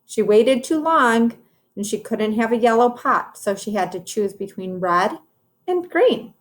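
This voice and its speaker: A woman's voice: a little high, animated, measured pace. A woman teacher reading a children's storybook aloud, explaining it directly to young listeners.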